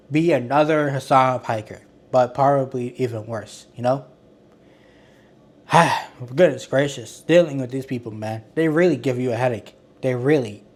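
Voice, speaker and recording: A man talking casually as the host of an online commentary channel. He sounds exasperated, rambles, restarts his sentences often, and calls his own voice monotone. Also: mid-range voice. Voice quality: self-described monotone. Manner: rambling, with frequent restarts and filler words; exasperated and critical.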